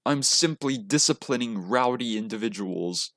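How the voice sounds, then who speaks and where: A man's voice: medium pitch, expressive, moderate pace, fairly bright tone, no echo, studio quality. A man voicing a huge, hot-tempered male teacher in a visual novel, hissing and indignant. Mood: indignant anger.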